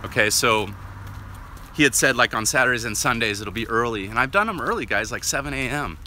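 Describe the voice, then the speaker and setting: A man with a loud, piercingly bright voice talks his thoughts out while walking down a residential street, with no clip-on mic. He rambles in a chatty way and keeps checking in with his viewers. Faint traffic hums behind him. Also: medium pitch, animated, a little fast. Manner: rambling, chatty, often asks "right?". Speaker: vlogger. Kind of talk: casual walk-and-talk monologue. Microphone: no worn mic, camera or phone at hand.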